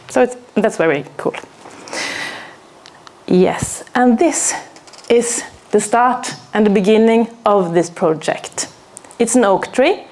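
A Norwegian woman in her thirties gives a lecture in a small hall, picked up by a camera set back in the room. She talks slowly, with steady pauses and filler words, and turns questions to the audience as she goes. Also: mid-range voice. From Norway. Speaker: arboriculture teacher giving a talk. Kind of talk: presentation to an audience. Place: small hall with plywood walls and a projector screen. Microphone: far camera, no mic seen.

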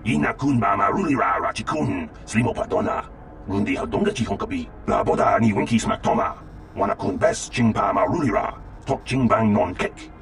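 Low, gravelly alien. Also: male voice, animated, a little fast, slight background noise. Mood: gruff chatter. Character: alien speaking an invented galactic tongue. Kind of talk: scripted video game creature dialogue. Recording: music behind the voice.

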